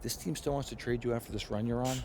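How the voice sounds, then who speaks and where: A man's voice: medium pitch, fairly even intonation, quick pace, background noise, natural voice. A man playing a loyal, frank basketball coach in a game cutscene, earnest and plainspoken. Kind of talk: naturalistic cutscene acting.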